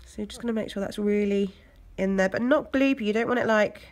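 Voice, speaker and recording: A woman with an English accent, hoarse from a cold, chatting casually as she talks through a nail art tutorial. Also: mid-range voice, fast. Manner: chatty, loose, lots of fillers. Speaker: nail technician. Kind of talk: step-by-step tutorial narration.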